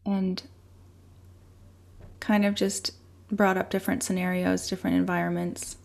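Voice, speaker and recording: Gravelly woman host, reflective. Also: lowish voice, level tone, unhurried, darkish tone. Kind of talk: casual conversation over video call. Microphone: video call.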